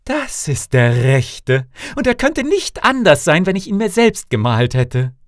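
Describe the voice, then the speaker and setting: A man voicing a headstrong young princess in a fairy-tale audiobook, with expressive, theatrical delivery. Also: a little high, measured pace, dry recording, clean studio sound, voice pushed up. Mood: delighted, decided.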